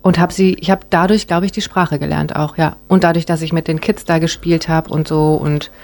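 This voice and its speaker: A woman from Germany, an actress being interviewed, talking in a warm, rambling way full of fillers. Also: sits low, level tone, brisk. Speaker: actress guest. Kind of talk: casual interview chat.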